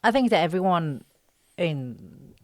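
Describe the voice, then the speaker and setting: Low-pitched, hesitant woman in an interview. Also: big pitch swings, measured pace, no echo, clean studio sound. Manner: hesitant, searching for words in short broken phrases. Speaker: guest actress being interviewed. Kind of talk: casual podcast interview chat.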